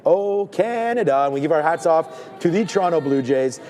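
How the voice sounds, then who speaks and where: Mellow rambling male host. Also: a little high, moderate pace, a little hiss or hum. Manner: relaxed, rambling with fillers. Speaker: show host signing off. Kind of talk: casual show chat.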